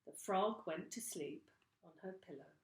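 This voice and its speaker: Woman teacher, storybook narrator, slow and lively, echoey room. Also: lowish voice. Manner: slow, expressive reading. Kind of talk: storybook reading for children.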